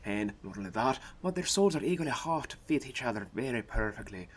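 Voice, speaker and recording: A man's voice: medium pitch, sing-song, fairly quick, husky. A man playing a smug, mocking know-it-all. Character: smug, mocking man who sees souls. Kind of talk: fan audio drama acting.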